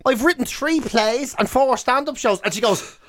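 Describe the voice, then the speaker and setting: A man's voice: very high-pitched, moderate pace. A man with an Irish accent chatting casually as a radio host, telling comic anecdotes and acting out both sides of the dialogue. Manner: animated storyteller, acting out dialogue. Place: radio studio.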